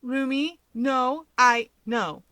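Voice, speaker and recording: A woman's voice: sits high, steady tone, extremely slow, pushed and bright, no echo, voice pushed up, full character voice. A woman voicing a harried, resigned male hero who pleads in a wry, emphatic and exasperated way. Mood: flustered.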